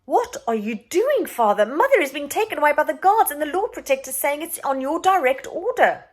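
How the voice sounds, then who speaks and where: A woman's voice: high-pitched, very animated, a little fast, voice pushed up. A woman voicing an earnest, brave young prince in a children's storybook, urgent and pleading.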